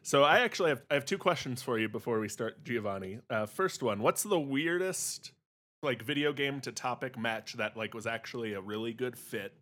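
A man's voice: medium pitch, lively intonation, normal speed, a bit bright. A man chatting and joking casually as a podcast panelist.

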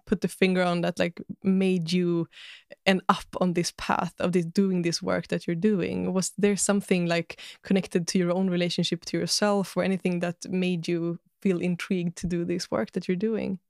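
A woman's voice: lowish voice, little pitch movement, moderate pace, creaky, smooth, dry recording, clean studio sound. A woman hosting a podcast, asking long searching questions full of "like" fillers. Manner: warm, searching, many "like" fillers. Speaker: podcast host. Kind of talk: casual interview questions.

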